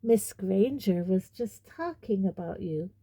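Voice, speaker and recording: A woman's voice: medium pitch, slow pace, mellow, dry recording. A woman voicing a sly male poltergeist who taunts with gleeful malice in a storybook reading. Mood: taunting. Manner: taunting, hissing and bellowing.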